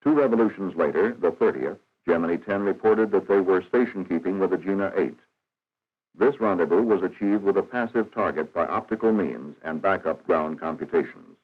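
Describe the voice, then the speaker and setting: Male documentary narrator, very deep and measured, 1960s educational style, narrow lo-fi vintage film sound. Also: husky, soft background music. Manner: formal, measured, matter-of-fact. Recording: dull, band-limited 1960s film soundtrack.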